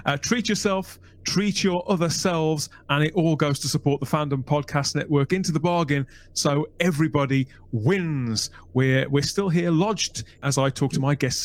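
Guy hosting a podcast, voice a bit high, wordy chatty questions, repeats himself a bit. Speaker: podcast host. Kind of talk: conversational interview with guests.

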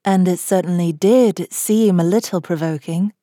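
A woman reading a children's classic aloud as gentle storybook narration. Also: on the low side, moderate pace, no room sound, studio quality. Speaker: audiobook reader.

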